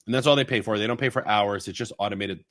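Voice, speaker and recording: A man from Long Island, co-hosting a podcast in rambling, animated casual chat. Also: lowish voice, fast talker. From Long Island, New York. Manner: rambling, animated storytelling with fillers and asides. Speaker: podcast co-host.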